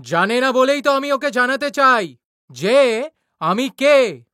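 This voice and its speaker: A woman voicing a violent male thug who threatens and shouts, with a Bengali accent. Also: high voice, lively intonation, takes it slowly, no echo, studio quality, voice pushed down, heavily acted. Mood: threatening.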